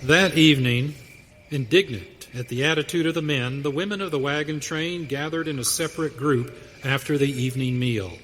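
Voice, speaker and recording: A man's voice: fairly high pitch, normal speed, fairly bright tone. A man narrating a scripted western radio drama. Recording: hall reverb, faint noise.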